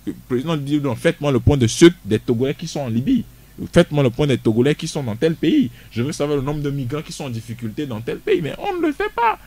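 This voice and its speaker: A man's voice: a little high, sing-song, fast, darkish tone, a little hiss or hum. A man, a guest on a radio discussion show, speaking emphatically and often repeating words as he restarts. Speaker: studio guest.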